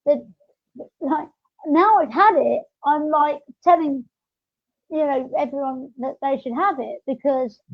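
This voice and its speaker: Female podcast host, high voice, hesitant conversational delivery, dry but dull lo-fi sound. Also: measured pace, gravelly. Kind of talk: casual podcast chat. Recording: dull lo-fi audio.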